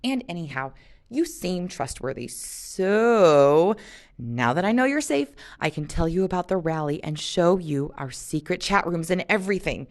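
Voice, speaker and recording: A woman's voice: fairly low pitch, expressive, a little slow. A woman voicing a chatty, flippant young girl in an audiobook-style reading, confident and bouncy. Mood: carefree. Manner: bouncy, emphatic, rattles from topic to topic.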